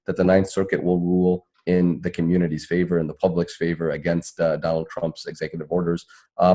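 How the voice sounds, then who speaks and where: Deep-voiced man, mellow political panelist. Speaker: activist panelist at a town hall. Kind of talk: earnest political commentary in answer to a question.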